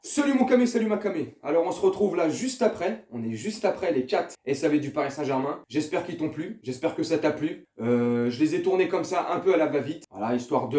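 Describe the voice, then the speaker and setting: A man's voice: a little high, dark tone, reverberant. A young man hosting a channel, chatting casually to his viewers and hesitating often. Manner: chatty, hesitant with fillers, addresses viewer directly. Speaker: YouTube host. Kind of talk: casual chat to camera.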